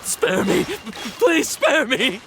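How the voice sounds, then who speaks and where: A man voicing a panicked, delirious herb gatherer in a video game, stammering and halting, with nervous giggles. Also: very high-pitched, wildly swooping pitch, heavy background noise, full character voice. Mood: terrified.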